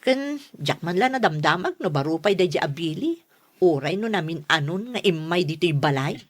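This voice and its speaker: An actress in an Ilocano radio drama playing a skeptical, scolding elder sister with a chiding, matter-of-fact manner. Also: female voice, fairly low pitch, expressive, normal speed. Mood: wary, explaining.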